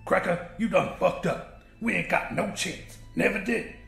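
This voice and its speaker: Brief: male reader as big belligerent kitchen slave, scornful slangy drawl, high-pitched and loud, gravelly edge, audiobook horror-comedy. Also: a little slow, reverberant, soft background music, heavily acted. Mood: furious, scornful. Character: big, angry, foul-mouthed older male slave cook.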